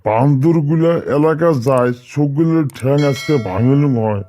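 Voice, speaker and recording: A man's voice: fairly high pitch, moderate pace, dark tone, faint music behind, natural voice. A man playing a threatening village man who chases off troublesome youngsters in a comedy cartoon, speaking a northern Bengali village dialect. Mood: menacing.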